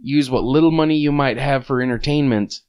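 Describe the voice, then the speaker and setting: A man's voice: mid-range voice, a little fast, darkish tone, clean tone. A man co-hosting a casual gaming podcast, grumbling and ranting with profanity. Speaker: podcast co-host.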